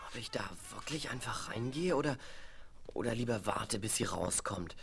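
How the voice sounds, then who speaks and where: A woman's voice: very deep voice, lively intonation, normal speed, creaky, background noise, exaggerated voice. An actor playing an eager, lovestruck and easily flustered boy in a radio play. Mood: eager, defiant. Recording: 1970s vinyl radio play, crowd behind.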